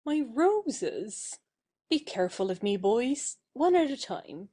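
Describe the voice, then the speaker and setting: A woman's voice: on the high side, big pitch swings, slow, a crowd in the background. A woman playing a popular, effusive young stage beauty in a period satirical audio play. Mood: playful, excited.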